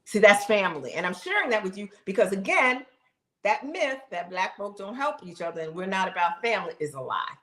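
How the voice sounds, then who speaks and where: A middle-aged woman streaming live, telling viewers her own story in a casual, animated way and talking straight to them. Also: medium pitch, fairly quick, reverberant. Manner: animated, talks straight to the audience, exclaims, laughs. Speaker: live streamer sharing her health journey.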